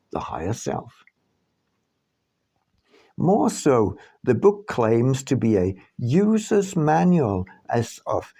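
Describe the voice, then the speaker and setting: A man reading his own book aloud as a scripted narration, with measured, deliberate phrasing and dramatic pauses. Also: mid-range voice, vocal fry, mellow. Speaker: author reading own audiobook.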